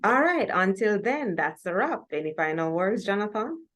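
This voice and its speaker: A woman's voice: medium pitch, sing-song, normal speed, a bit bright. A woman with a Jamaican accent hosting a music talk show in a conversational style.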